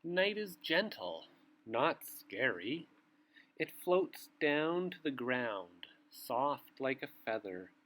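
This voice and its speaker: A man reading a picture book aloud to young children, with theatrical, drawn-out phrasing and asides to his listeners. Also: medium pitch, sing-song, a bit bright, low-quality recording.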